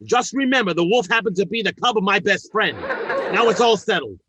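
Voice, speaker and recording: A man's voice: high voice, expressive, brisk, highs cut off, a crowd in the background, noisy background. An actor playing a good-hearted, blustery working-class father in an old-time radio comedy, folksy and ungrammatical, with sentimental turns. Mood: blustery, scolding.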